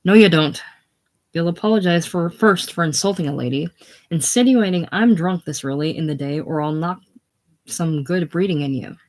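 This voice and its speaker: A reader voicing a bold, quick-tempered young farm woman, loud and fierce. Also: mid-range voice, expressive, close to an everyday voice.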